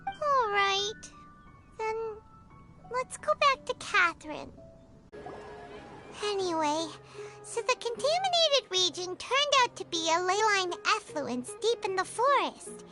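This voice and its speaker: Tiny fairy sidekick, extremely high, squeaky, childlike, excitable, swooping intonation, fantasy game, prominent music. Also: female voice, takes it slowly, long pauses, heavily acted. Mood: earnest, concerned. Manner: naive, talks of self in third person. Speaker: voice actor in a fantasy video game. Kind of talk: scripted game dialogue.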